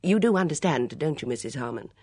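A woman voicing a composed, brisk, polite middle-aged lady of the house in an audiobook, with a controlled manner. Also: low-pitched, animated, a little mellow, husky, no room sound, clean studio sound. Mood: controlled, reassuring.

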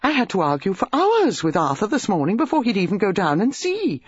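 A reader voicing Mrs Bantry, a breathless, excitable English country lady who gushes about a body found in her library. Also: mid-range voice, a little fast, husky, dry recording, dull audio, voice pushed up. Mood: excited. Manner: gushing, breathless.

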